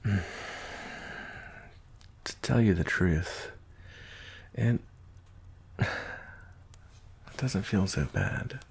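A man playing a gruff but tender werewolf bounty hunter in an ASMR roleplay, soft-spoken and gentle, with hesitant pauses. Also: lively intonation, exaggerated voice. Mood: gentle, soothing. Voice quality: very deep, gravelly, husky.

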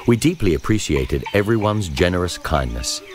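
A man reads a historical journal as scripted narration, in a measured, formal storytelling manner. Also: sits low, very animated, soft background music. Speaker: narrator reading a memoir.

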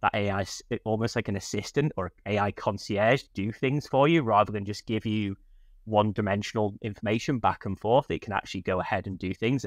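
A man's voice: on the low side, no echo. A man in his thirties answering interview questions in an enthusiastic, explanatory, conversational way. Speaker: podcast guest, tech company product leader. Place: small furnished room at home. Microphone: video call, wearing headphones.

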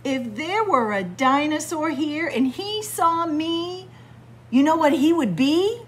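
A woman's voice: sits high, animated, slow, a little hiss or hum, voice pushed up. A woman voicing a boastful shark from a picture book, bragging and exclamatory. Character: boastful shark, jumpy about spiders. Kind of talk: picture-book read-aloud. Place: indoors in a library.

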